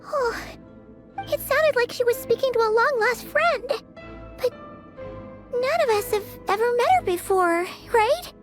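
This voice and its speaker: A woman voicing a tiny, excitable, childlike fairy companion in a video game. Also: a little slow, long pauses, soft background music. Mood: curious, hopeful. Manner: childlike, squeaky, refers to herself by name. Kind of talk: cartoonish video game voice acting.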